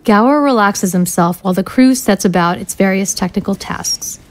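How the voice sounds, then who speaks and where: A woman's voice: medium pitch, moderate pace, low-quality recording. A woman reading scene directions as the narrator of an audio drama, in scripted narration style.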